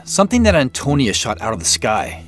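A man's voice: mid-range voice, very animated, brisk, soft background music, natural voice. A man playing a casual, probing detective in a naturalistic audio drama, dry and inquisitive. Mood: questioning. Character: dry, inquisitive male detective working a case.

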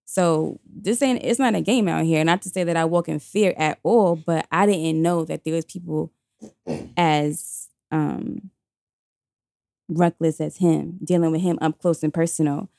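A woman's voice: deep voice, unhurried, creaky, a little mellow, no echo, studio quality. A woman, telling a personal story bluntly and emphatically in slangy casual chat. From Queens, New York.

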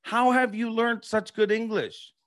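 A man's voice: very high voice, fairly even intonation, a little slow. A man hosting a casual sports interview, asking questions in a chatty, encouraging way. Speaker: show host, interviewer.

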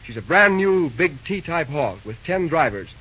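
A man playing a brisk, busy railroad dispatcher in an old-time radio drama, talking in clipped, folksy railroad slang. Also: fairly high pitch, big pitch swings, husky, exaggerated voice. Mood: businesslike. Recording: muffled, noisy old radio recording.

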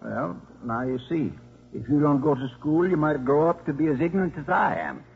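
An actor playing a gentle, drily humorous priest in his sixties, mild and a little hesitant, in a 1950s radio drama. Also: fairly high pitch, very animated, normal speed, creaky, soft background music. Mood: gentle, wry. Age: 60s. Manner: hesitant, mild, wryly formal. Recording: muffled 1950s radio broadcast.

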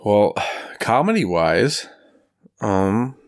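A man's voice: on the low side, big pitch swings, drawn-out words. A man co-hosting a comedy podcast, with a soothing voice and a laid-back, dryly teasing manner. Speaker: podcast co-host. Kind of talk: casual chat.

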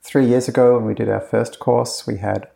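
A man's voice: mid-range voice, fairly even intonation, moderate pace, vocal fry, warm tone. A man being interviewed in casual conversation, talking in a loose, rambling way with lots of fillers and restarts. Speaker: course creator being interviewed.

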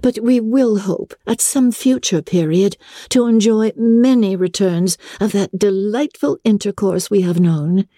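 A woman voicing an affected, genteel society lady with a gushing, high-flown manner in a classic novel reading. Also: warm tone, no echo, studio quality, natural voice.

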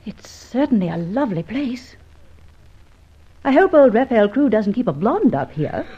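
A woman's voice: fairly high pitch, sing-song, a little slow, slightly rough, exaggerated voice. A woman acting a game, watchful young partner in an old-time radio adventure serial, speaking in an earnest, cautious, questioning way. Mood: admiring. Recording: dull, band-limited old radio recording.

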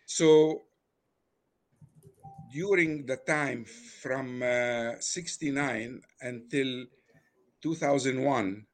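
An older man with an Arabic accent, originally from Lebanon. He talks at a medium pitch in a halting, conversational way, pausing on fillers and restarting his sentences as he recalls his past. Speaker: guest. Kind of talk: casual personal storytelling.

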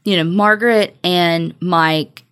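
Woman, American accent, lower pitch, casual podcast storytelling, informal, unscripted. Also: fairly even intonation, slow, a bit bright, clear, resonant tone. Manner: informal, joking asides, swears freely. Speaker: true-crime podcast co-host.

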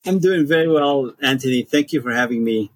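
A middle-aged man from Egypt, an interview guest telling his career story in short, measured statements. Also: medium pitch, no room sound. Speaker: podcast guest, pathologist.

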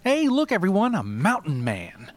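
A man's voice: high voice, wildly swooping pitch, normal speed, voice pushed up. A man voicing a cheery, smug young city hipster guide with a patronizing, slangy manner. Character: cheery, smug twenty-four-year-old male city hipster mushroom guide.

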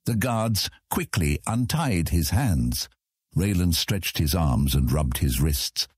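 Male narrator, very low and husky, scripted epic fantasy audiobook delivery, dry studio sound. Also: expressive, slow pace, no room sound.

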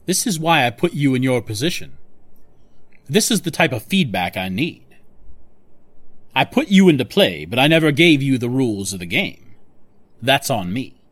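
Audio drama villain boss: scheming, condescending, mid-pitched, swooping intonation, close dry sound. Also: male voice, very animated, normal speed, pauses often, fairly bright tone, dry recording. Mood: self-satisfied, conceding. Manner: smooth-talking, condescending, self-satisfied, controlling. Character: smug, scheming male tech mastermind boss, condescending.

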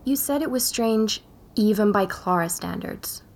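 A woman's voice: medium pitch, expressive, measured pace, creaky. An actress playing an inquisitive young journalist, probing and composed as she interviews and narrates in an audio drama podcast. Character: inquisitive young woman journalist investigating a disappearance.

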